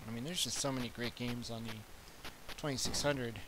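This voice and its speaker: A man's voice: medium pitch, steady tone, fairly quick, noisy background. A Canadian man with a Canadian accent, hosting a retro gaming stream in casual, rambling chat. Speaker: retro gaming channel host.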